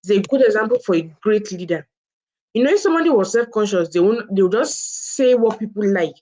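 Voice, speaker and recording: A woman's voice: mid-range voice, lively intonation, brisk, dark tone. A woman co-hosting a book review, explaining informally and often restating and restarting her phrases. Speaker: co-host presenting a book review.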